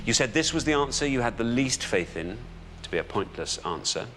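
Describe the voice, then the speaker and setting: A British man presenting a television quiz show, deliberate in his delivery and building suspense as he goes. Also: mid-range voice, big pitch swings, a bit bright, a little hiss or hum. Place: television studio.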